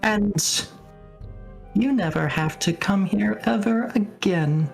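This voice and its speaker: A woman game master voicing a sly, menacing woodland bargainer, smooth-tongued and teasing. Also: mid-range voice, slow, soft background music. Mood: sly, bargaining.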